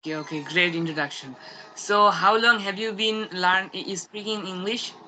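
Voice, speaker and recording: A woman interviewing a guest, speaking hesitantly with many pauses and fillers. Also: mid-range voice, bright, forceful tone, a little hiss or hum, rough recording. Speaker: interviewer, channel host. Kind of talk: casual interview.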